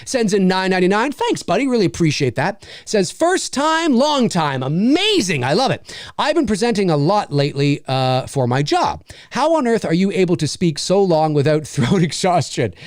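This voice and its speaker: Man in his forties, live-stream host, fairly high voice, energetic, rambling with fillers, dry close mic. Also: normal speed, dry recording. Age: 40s.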